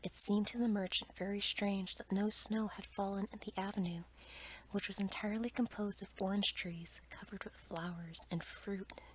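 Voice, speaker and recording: Give the voice of a woman reading a fairy tale aloud as ASMR. She whispers throughout, breathy and soft, with careful read-aloud delivery. The recording is homemade, with some background noise behind her. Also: mid-range voice, fairly even intonation, normal speed, rough recording. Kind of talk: whispered ASMR bedtime storytelling.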